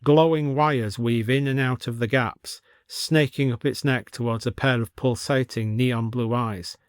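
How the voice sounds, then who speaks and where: A British man narrates a scripted story. His voice is medium in pitch and recorded very dry, with no room around it. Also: fairly even intonation, unhurried, smooth, no echo.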